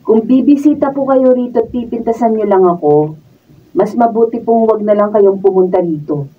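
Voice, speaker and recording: A woman's voice: on the high side, normal speed, slightly rough. A Filipino woman playing a fed-up, defiant daughter-in-law in a radio drama, answering back pointedly. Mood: curt restraint. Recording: distant mic, dull sound.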